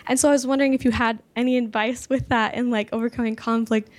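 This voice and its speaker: A young adult woman with a fairly high voice stands up in the audience to ask a question. She speaks hesitantly and fills her pauses. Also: steady tone. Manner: hesitant, with many fillers. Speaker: audience member asking a question. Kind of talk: question from the floor.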